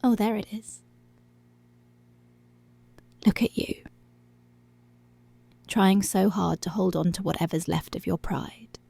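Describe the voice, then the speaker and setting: Woman playing a slow, creaky, commanding policewoman. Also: medium pitch, dark tone, exaggerated voice. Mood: domineering. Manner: slow, breathy half-whispered, possessive teasing. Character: dominant, teasing, commanding adult policewoman. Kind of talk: intimate ASMR roleplay.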